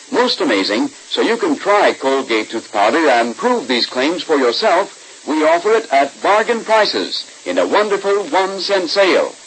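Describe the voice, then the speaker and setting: Animated old-time radio announcer man. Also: on the high side, slight background noise, rough recording. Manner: polished, emphatic, salesmanlike. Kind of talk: 1940s radio scripted narration and ad read.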